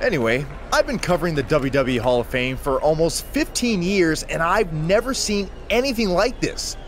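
A man's voice: fairly high pitch, animated, moderate pace, a little hiss or hum, natural voice. An actor voicing a polished male red-carpet reporter in a video game. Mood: broadcast. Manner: smooth broadcaster delivery.

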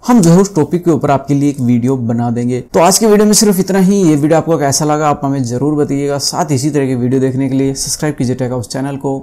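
A man's voice: mid-range voice, fast, hardly any pauses, dark tone. A man in his thirties with an Indian accent, hosting a casual tutorial in a conversational, explanatory way. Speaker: tech video host. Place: small indoor desk studio.

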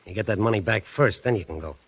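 A man's voice: lowish voice, quick pace, vocal fry, husky, no echo. An actor playing a sardonic, menacing gangster henchman in a 1940s radio crime drama, talking in curt, slangy threats. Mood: hard, threatening. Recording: vintage 1940s radio recording.

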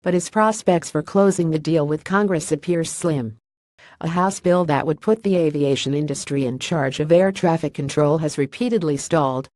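Flat synthetic news reader voice, dry. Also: mid-range voice, no echo. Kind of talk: scripted news reading.

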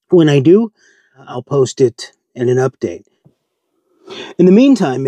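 A middle-aged man giving a casual, measured, explanatory update to camera as a channel host, in an informal style. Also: mid-range voice, dark tone, slightly rough, dry recording. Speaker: ham radio channel host. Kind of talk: casual update to camera, answering viewer questions.

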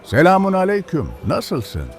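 A man's voice: high voice, huge pitch swings, unhurried, faint music behind, full character voice. An actor playing a kind, pious old village grandfather who teaches patiently in a children's cartoon. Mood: friendly, caring. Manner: instructive, gentle moralizing. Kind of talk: educational children's cartoon dialogue.

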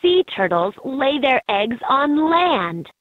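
A woman voicing an encouraging guide in a children's educational game, narrating in a clearly enunciated, instructive way. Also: high-pitched, big pitch swings, slow pace, no echo, heavily acted. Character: encouraging female guide in a kids' animal learning game. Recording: muffled lo-fi handheld game audio clips.

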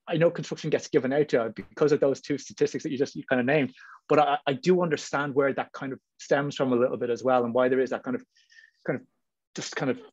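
Irish man, panelist, quick pace, informal and talkative, dull video call sound. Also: mid-range voice, darkish tone, breathy edge. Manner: talkative, hedging with frequent "you know what I mean". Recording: muffled, roomy video call audio.

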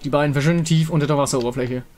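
A man's voice: on the high side, steady tone, rapid-fire, a little mellow. A man reading scripted story narration as the narrator of a fantasy tale.

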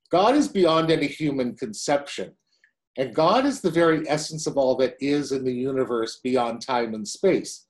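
An older man in his sixties gives a sermon from his desk into a webcam. His pitch moves up and down with lively, animated swings. He keeps the tone conversational and drops in dry, wry asides. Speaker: pastor.

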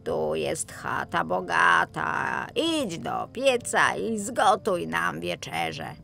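Casting: mysterious storybook old man, acted by a woman, hoarse, creaky, very slow and solemn. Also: lowish voice, sing-song, pushed and bright, soft background music, exaggerated voice.

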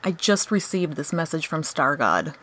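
A woman's voice: low-pitched, sing-song, normal speed, a bit bright, full character voice. A woman playing a sardonic, exasperated scheming show host in a comedic radio play. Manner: sarcastic, grumbling.

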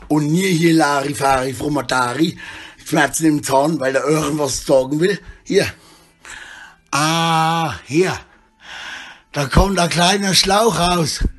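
A middle-aged man with a husky voice, rambling disjointedly in a selfie monologue recorded indoors on a handheld phone. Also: fairly high pitch. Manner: rambling, disjointed, often tags sentences with questions. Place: small room indoors. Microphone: phone held at arm's length.

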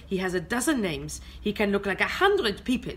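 A woman's voice: medium pitch, very animated, moderate pace, slightly rough. A woman playing a grave, melodramatic foreign secret agent who slips into German exclamations in an amateur radio play. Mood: grave, insistent. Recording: homemade recording with noticeable noise.

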